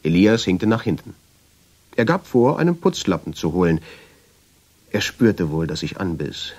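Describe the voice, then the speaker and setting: An actor playing a reflective traveller who tells his story in measured, deliberate radio drama narration, with a husky voice. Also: darkish tone. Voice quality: low, husky voice. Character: reflective male traveller, drawn and obsessive, telling his story. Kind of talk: literary radio drama narration in first person.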